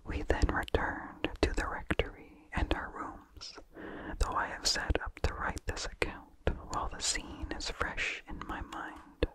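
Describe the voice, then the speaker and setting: Slow, fully whispered ASMR storyteller. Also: sharp tone, poor audio. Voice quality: breathy, fully unvoiced whisper. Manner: slow, measured, deliberate phrasing. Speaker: narrator reading a story aloud. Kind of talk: whispered ASMR reading of a vintage horror story. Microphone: close microphone with incidental mouth sounds.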